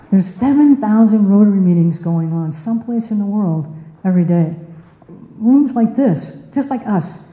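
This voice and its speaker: A woman's voice: medium pitch, dark tone. Woman speaking in a muffled, echoey room. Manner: conversational, asks the audience questions, repeats words for emphasis. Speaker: club district governor giving a speech. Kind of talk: informal presentation to a club audience. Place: indoor meeting room.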